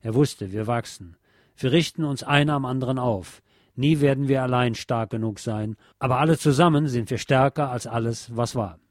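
A man's voice: mid-range voice, moderate pace, no echo. Casting: male narrator for a literary audiobook, mid-range voice, close dry sound.

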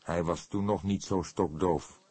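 Guy doing an older police inspector in an audiobook, husky, low, calm and wry, takes his time. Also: dry recording. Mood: dry, casual. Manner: unhurried, measured, dryly ironic.

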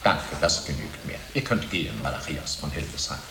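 A man's voice: very deep voice, lively intonation, brisk, sharp tone, exaggerated voice. A coldly righteous inquisitor holds forth in an echoing room with faint noise behind. He is rhetorical and pompous, a suave prosecutor with menace in a medieval radio play. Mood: smoothly official. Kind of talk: German radio drama acting.

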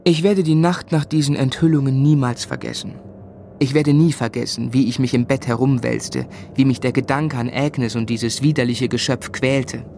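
An actor playing an earnest, courteous young gentleman who narrates and speaks in a formal, measured way in a German literary radio drama. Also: mid-range voice, fairly even intonation, slight background noise, natural voice. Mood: troubled.

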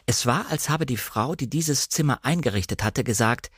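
Male narrator, standard German, medium pitch. Measured, precisely articulated literary storytelling, close and dry studio recording. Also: lively intonation, a bit bright, slightly rough, no room sound. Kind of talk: scripted literary narration, audiobook-like radio reading.

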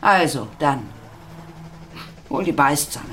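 A woman's voice: sits low, slow, a bit bright, slight background noise. An actress playing a blunt, eccentric, middle-aged working-class mother of a teenage boy in a radio play, earthy and commanding. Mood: challenging, bossy. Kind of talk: German radio play acting.

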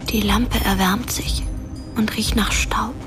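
An actress plays an eerie female narrator in a radio drama. Her voice is breathy and half-whispered, with a measured, intimate delivery and average pitch. Music runs clearly underneath. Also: fairly even intonation, close to an everyday voice. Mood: eerie, quiet.

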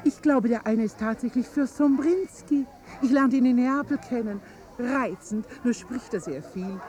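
An actress playing a gushing, snobbish high-society lady in a 1950s German radio drama, effusive, affected and chatty. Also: normal speed, darkish tone, lightly acted. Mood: gushing delight.

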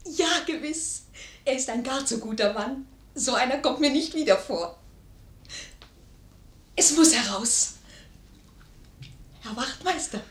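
An actress playing a pert, frank, warm-hearted young lady's maid with coquettish delivery in a 1950s German radio play. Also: sits high, slow pace, long pauses, full character voice. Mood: earnest. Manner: coquettish, lively. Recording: roomy 1950s radio studio recording.

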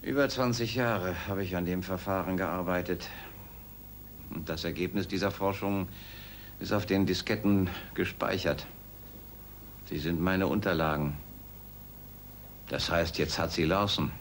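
A man playing an earnest, courteous middle-aged scientist in an audio drama, speaking in a measured, formal way. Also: sits low, steady tone, a little hiss or hum. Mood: grave, bitter. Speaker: actor in a German children's audio drama. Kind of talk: radio play acting.

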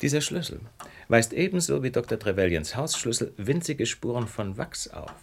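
Self-assured detective, wildly varied intonation. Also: male voice, mid-range voice, big pitch swings, normal speed, creaky. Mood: brisk, assured. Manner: articulate, lecturing, theatrical. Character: brilliant, self-assured male detective, precise and superior. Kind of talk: German radio play acting.

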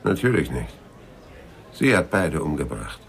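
An actor playing a shrewd, hard-boiled private detective in a radio crime drama, blunt, probing and dry. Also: male voice, a bass voice, big pitch swings, measured pace, heavily acted. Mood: grim, dry. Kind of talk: 1990s German radio crime drama acting.

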